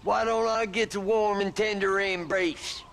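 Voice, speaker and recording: Whiny, creaky old frontier drunkard. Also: male voice, high voice, fairly even intonation, unhurried, a bit bright, slight background noise, full character voice. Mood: aggrieved, whining. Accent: American. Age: older. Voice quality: gravelly, creaky. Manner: defensive, whining.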